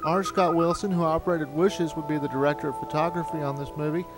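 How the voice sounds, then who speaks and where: A man's voice: on the high side, steady tone, quick pace. A man narrating a reflective, anecdotal documentary retrospective as the filmmaker. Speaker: filmmaker looking back on studio's history. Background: music.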